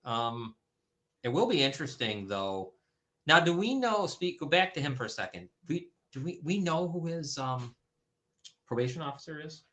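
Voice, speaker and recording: A man hosting an online talk show speaks in a bright, forward tone. He rambles a little and restarts his sentences often, as in loose conversation. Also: on the high side, very animated, normal speed. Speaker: host. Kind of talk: casual talk show discussion. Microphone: streaming call setup.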